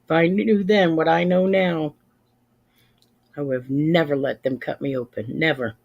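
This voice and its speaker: A woman's voice: sits low, fairly even intonation, normal speed, creaky, dull audio. A woman in her fifties chatting casually as a livestream host, plain-spoken and emphatic, repeating phrases for stress. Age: 50s. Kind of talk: casual chat with live viewers.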